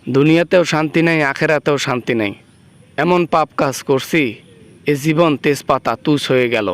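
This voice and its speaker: Guy acting scared guilty jailbird in a comedy phone skit, whiny n pleading, stammers, smooth clean voice. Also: on the high side, moderate pace, natural voice. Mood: regretful. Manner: stammering, pleading, comically exaggerated complaints. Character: frightened male prisoner, guilty, whining, woken by dream caller. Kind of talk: amateur comic phone-call skit.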